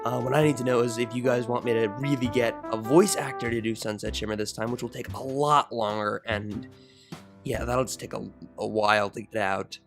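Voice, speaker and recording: A woman's voice: rumbling low, steady tone, brisk, soft background music. A young adult woman who narrates fan fiction and chats casually as host of her channel.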